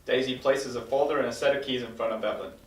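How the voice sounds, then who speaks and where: A man's voice: mid-range voice, steady tone, fast talker, distant mic, noisy background. A man reading screenplay stage directions as narrator at a table read. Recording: echoey room, slightly off-mic.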